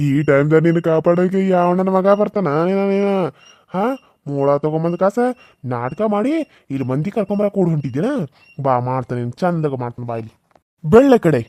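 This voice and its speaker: Guy doing a nasty village husband, sneering and threatening, kinda high, dry close mic. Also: lively intonation, moderate pace, warm tone, dry recording, natural voice. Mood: gloating. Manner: mocking, threatening, scornful laughter. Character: cruel, scheming village husband.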